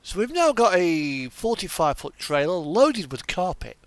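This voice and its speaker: Slow, creaky-voiced man casually thinking aloud. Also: high voice, big pitch swings, no room sound. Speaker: gaming video host. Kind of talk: casual, rambling gameplay commentary.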